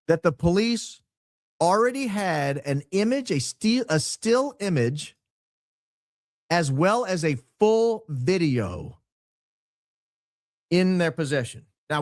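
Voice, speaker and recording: A man hosting a casual commentary on audio clips, questioning, halting and often repeating himself. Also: on the high side, dry recording, clean studio sound. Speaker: host.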